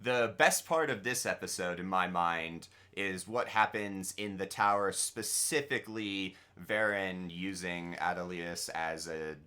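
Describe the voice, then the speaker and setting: A man co-hosting a TV reaction show in casual chat, halting and correcting himself mid-sentence. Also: deep voice, pushed and bright. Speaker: co-host of a TV episode reaction show.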